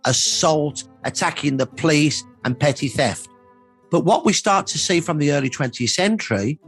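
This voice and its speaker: Man, raspy fairly high voice, earnest historian, emphatic explaining, documentary interview, faint music underneath. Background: background music.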